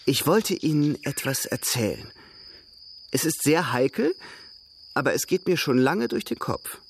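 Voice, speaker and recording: Lebhaften, charmanten Überredungskünstler. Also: male voice, fairly high pitch, very animated, unhurried, creaky, slight background noise, full character voice. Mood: eager, persuasive. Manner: courtly, playful, eager persuasion. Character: urbane, persuasive young brother, smooth-talking matchmaker. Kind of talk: radio drama dialogue.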